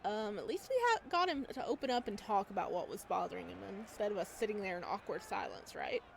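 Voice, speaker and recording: A woman's voice: medium pitch, big pitch swings, fast, gravelly. Woman narrating a game, noisy background. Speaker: host reading a visual novel aloud. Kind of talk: let's play narration with casual asides.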